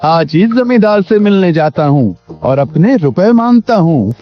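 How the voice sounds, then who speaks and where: A man's voice: high-pitched, big pitch swings, measured pace. A man playing an honest, humble village servant in a cartoon moral story, polite, earnest and deferential. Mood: thoughtful, hopeful. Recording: dull lo-fi audio with background music.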